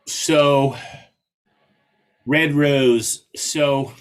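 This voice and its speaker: A man giving a casual, somewhat halting explanation as the invited speaker in an online meeting. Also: mid-range voice, steady tone, clear, resonant tone. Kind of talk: casual explanatory talk with screen share.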